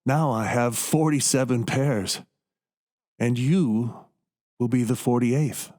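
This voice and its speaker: A man playing a coldly scheming, gloating doctor in a radio play, speaking with deliberate, condescending menace. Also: medium pitch, darkish tone, lightly acted. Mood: smug, menacing.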